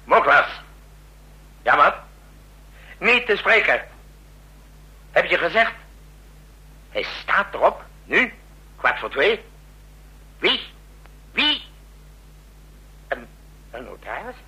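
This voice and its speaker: An actor playing a commanding, brusque president in a Dutch radio drama, barking orders and grumbling asides. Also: male voice, fairly high pitch, big pitch swings, slow, long pauses, sharp tone, husky, full character voice, poor audio. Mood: irritable, impatient.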